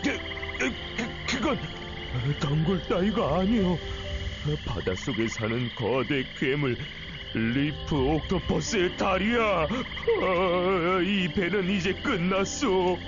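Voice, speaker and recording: An actor playing a flustered, stammering, defeatist ship captain with old-fashioned speech in a Korean video game. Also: male voice, sits high, very animated, slow pace, exaggerated voice. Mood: terrified. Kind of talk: exaggerated Korean game voice acting. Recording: muffled game audio with music.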